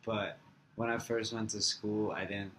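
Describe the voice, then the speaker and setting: Young man, low voice, relaxed answers. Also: slight background noise, low-quality recording. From Florida, USA. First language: Farsi. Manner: relaxed, halting, with many "like" fillers. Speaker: interviewee. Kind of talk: casual interview answers.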